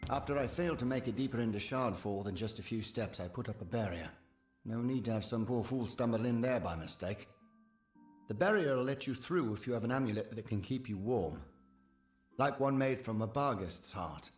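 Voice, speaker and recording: Man acting an eager village magic-crafter, earnest, deferential, hesitant, mid-pitched, fantasy game dialogue, roomy echo. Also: animated, brisk, natural voice. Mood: earnest, uneasy. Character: eager, self-effacing male village sagecrafter. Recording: roomy echo, background music.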